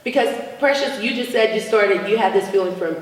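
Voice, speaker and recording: A woman's voice: mid-range voice, a little fast. A woman hosting a talk show, gushing and leading the questions. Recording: echoey hall, mic far off.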